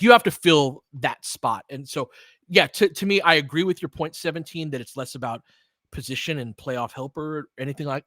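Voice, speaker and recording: A man's voice: medium pitch, a bit bright, dry recording. A man hosting a casual live basketball show, conversational and opinionated, chatting with listeners in informal, slangy language. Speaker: host of a basketball audio play-by-play show. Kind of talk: casual live sports discussion with loose play-by-play.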